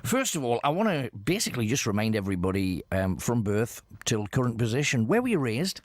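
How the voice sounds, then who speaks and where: A man's voice: mid-range voice, big pitch swings, fairly quick, dry recording. A man interviewing a musician on radio, in a jovial and teasing way.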